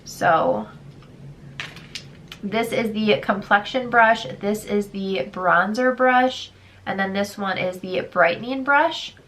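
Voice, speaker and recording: A woman's voice: medium pitch, slow, a bit bright, slight background noise. A young woman with a stuffy, congested voice, chatting candidly through a beauty product try-on and reading product blurbs aloud. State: congested, sick. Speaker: beauty vlogger. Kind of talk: casual product try-on chat.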